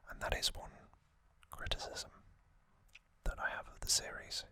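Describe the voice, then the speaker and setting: A man whispering as an ASMR host, breathy and unvoiced, talking off the cuff in a halting, reflective way. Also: sharp tone, no echo. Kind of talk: ASMR, unscripted whispered commentary.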